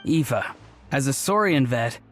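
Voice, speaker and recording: A man's voice: mid-range voice, animated, a little slow, fairly bright tone. An actor voicing an upbeat, playful young man in a game who cracks jokes. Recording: game cutscene with music behind.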